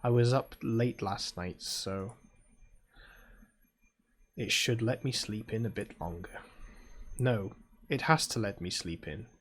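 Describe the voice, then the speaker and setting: A man's voice: on the low side, slow pace, long pauses. A British man reading aloud as a sleepy, proud teenage girl mage, in a drowsy, grumbling first-person voice. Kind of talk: reading a visual novel aloud.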